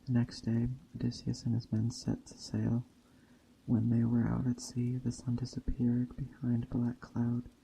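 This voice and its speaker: A man tells an old myth in a very monotone, measured way. His voice is dark and soft-edged with a gravelly creak. He sometimes trips on a word as he reads. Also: mid-range voice, a little hiss or hum, poor audio. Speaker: storyteller. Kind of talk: reading a Greek myth story aloud.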